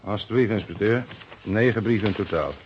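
A man's voice: on the low side, brisk, noisy background, lightly acted. A man acting an earnest, deferential police subordinate in an old radio drama, speaking respectfully and somewhat hesitantly. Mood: dutiful, eager. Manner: hesitant, eager to please, respectful. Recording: dull, band-limited old radio recording.

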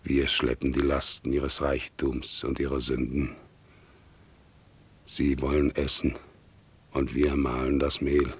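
An actor in a radio play as a sick, despairing man, slow and halting, bitterly defying God in a hoarse, very deep voice. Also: monotone, heavily acted. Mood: bitter accusation. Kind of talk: radio play acting, solemn monologue. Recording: 1960s radio drama production.

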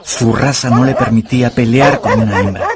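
A man's voice: mid-range voice, moderate pace, over a noisy crowd. A man narrating a radio drama from a script in a storytelling style.

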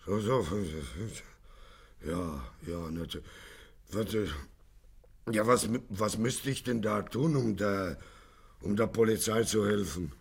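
An actor playing a laconic, calculating Chicago gangster in a radio play, muttering in short repeated phrases. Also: male voice, lowish voice, takes it slowly, vocal fry, pauses often, breathy edge, exaggerated voice. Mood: hesitant, evasive. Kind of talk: 1950s German radio play acting.

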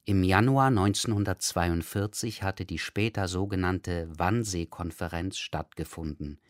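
A man's voice: low-pitched, measured pace, no room sound, studio quality. A man introducing a radio play with sober, factual scripted narration.

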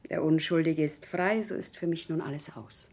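An actress playing a proud, wounded wife hailed as a saint in a German radio play, with intense theatrical delivery. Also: female voice, low-pitched, normal speed, darkish tone. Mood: resigned. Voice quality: husky, breathy.

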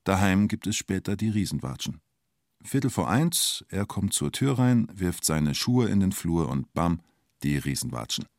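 An actor playing a wry, colloquial storyteller in a radio drama, speaking in clipped present-tense phrases. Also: male voice, low voice, big pitch swings, moderate pace, darkish tone, no room sound, studio quality. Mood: wry, observing. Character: male storyteller recalling the bank siege, wry and colloquial.

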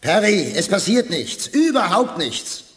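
A man's voice: high voice, big pitch swings, long reverb tail. An actor playing a blunt, worried male crewmate on a space expedition in a 1980s radio play. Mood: alarmed. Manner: blunt, worried, quick-tongued. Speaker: Reginald Bull, companion.

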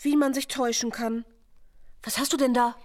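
A woman's voice: fairly high pitch, fairly even intonation, normal speed, darkish tone, a little hiss or hum, lightly acted. A woman playing a drowsy, forgetful and curious musician who speaks in short puzzled questions in a radio play. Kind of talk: surreal radio play dialogue.

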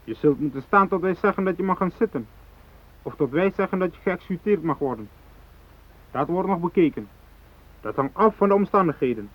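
An actor in a radio drama playing a fanatical, unyielding hijacker who speaks in cold, threatening declarations. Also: male voice, high-pitched, animated, fairly quick. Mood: stern, commanding. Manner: declarative, threatening, ideological speechmaking. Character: fanatical South Moluccan hijacker, unyielding, cold, righteous militant. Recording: thin phone-like radio drama recording.

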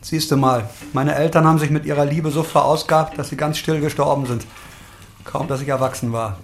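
An actor playing a gentle, harmless, sheltered city man in a German audio drama, hesitant and self-deprecating. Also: on the high side, fairly even intonation, moderate pace, creaky.

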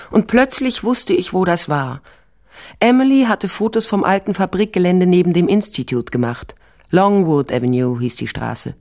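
A woman's voice: on the low side, a little mellow. An actress playing a determined, probing female private detective and ex-policewoman in a German radio crime drama. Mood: absorbed, reflective. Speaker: lead actor and first-person narrator.

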